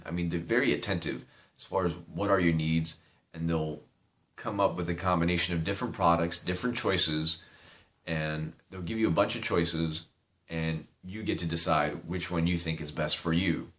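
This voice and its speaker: A young man with a very low voice and a slight Persian accent, chatting loosely through a product review. He rambles, circles back, and drops casual swear words. The tone is informal, like an amateur video review. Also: fairly even intonation, normal speed, fairly bright tone. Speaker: vape reviewer.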